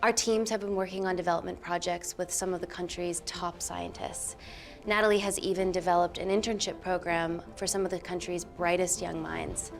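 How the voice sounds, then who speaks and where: An actress playing an earnest, composed doctor and scientist in a film drama, speaking articulately. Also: female voice, lowish voice, flat delivery, normal speed, creaky. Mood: poised, formal. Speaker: scientist presenting to investors. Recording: film soundtrack with background music.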